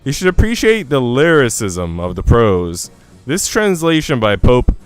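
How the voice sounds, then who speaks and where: A man's voice: mid-range voice, wildly swooping pitch, a little slow, clear, resonant tone, faint music behind. A man voicing a stern governess in her thirties from a visual novel, prim, severe and reproving. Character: stern thirty-three-year-old governess, female, strict and reproving.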